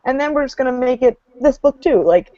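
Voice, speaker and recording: A woman's voice: high-pitched, lively intonation, fairly quick, mellow, no room sound, dull audio. A woman chatting casually in a book discussion, acting out her reactions as quotes and saying "like" often.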